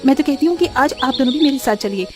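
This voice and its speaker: A woman's voice: sits high, expressive, fast. Good-natured, cheery young village wife, voiced by a woman, cartoon drama, faint music. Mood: cheerful. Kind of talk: acted cartoon story dialogue.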